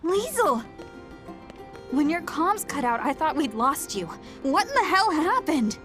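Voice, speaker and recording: Young female engineer, highish voice, earnest, caring, expressive, game cutscene, music behind. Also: a little slow, exaggerated voice. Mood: alarmed. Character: young woman engineer, earnest, caring, fussing over her friend. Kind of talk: video game cutscene acting.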